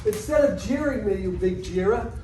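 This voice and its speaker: Man acting self-pitying moneylender, extremely high voice, plaintive, classical stage comedy, distant mic. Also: animated, normal speed. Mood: aggrieved. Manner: melodramatic, plaintive. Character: luckless, wailing male moneylender, self-pitying and aggrieved. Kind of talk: amateur dramatic reading of classical comedy. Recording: echoey room, distant mic, faint noise.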